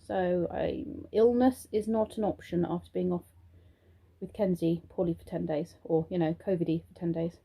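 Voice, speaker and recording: A woman's voice: fairly low pitch, steady tone, moderate pace, creaky, dark tone, husky. A young British woman vlogging at home, chatty and rambling with many ums, sounding throaty and congested from a sore throat. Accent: British English. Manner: chatty and rambling, many ums, sing-song praise when talking to children. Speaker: vlogger and childminder. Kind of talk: casual vlog chat to camera. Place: at home, indoors.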